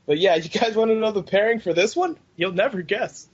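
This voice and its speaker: Guy with a high husky voice joking around on a podcast, dull lo-fi audio. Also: brisk. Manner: jokey, animated, swears casually. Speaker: podcast co-host. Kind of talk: casual group podcast chat. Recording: dull lo-fi voice chat.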